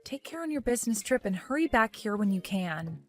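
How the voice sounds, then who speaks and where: A woman voicing a young pregnant wife who speaks quietly and hesitantly, pleading to understand. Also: medium pitch, sing-song, fairly quick, soft background music, lightly acted. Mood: bewildered. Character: soft-spoken young pregnant wife, confused and patient.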